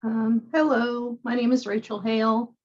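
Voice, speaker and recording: A woman's voice: fairly high pitch, flat delivery, unhurried. Woman advisor on dull lo-fi call audio. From California. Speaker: academic advisor. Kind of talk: webinar self-introduction.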